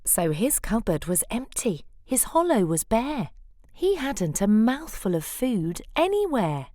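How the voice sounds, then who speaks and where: A woman reading a rhyming children's picture book aloud in a dramatic, playful way with deliberate phrasing. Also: a little high, very animated, warm tone, dry recording, studio quality. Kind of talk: expressive rhyming storybook read-aloud.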